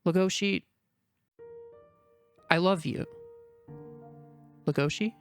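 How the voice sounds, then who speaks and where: A man voicing a shy, earnest young female rabbit in an amateur dramatic reading, speaking softly and from the heart. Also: sits high, slow pace, faint music behind. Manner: soft, heartfelt, anxious inner murmuring. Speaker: voice actor in a fan reading.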